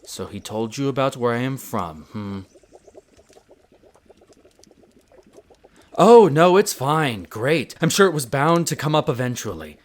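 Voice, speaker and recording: A man's voice: mid-range voice, close to an everyday voice. A man playing a proud, prickly winter elf archaeologist who talks formally and haughtily in a scripted audio roleplay addressed to the listener. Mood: guarded, irritated. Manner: haughty, formal, exasperated, theatrical pauses.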